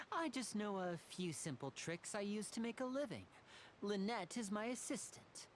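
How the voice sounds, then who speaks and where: A woman playing a polite young male stage magician in a video game, courteous and measured like a showman telling a story. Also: medium pitch, very animated, noisy background. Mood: charming.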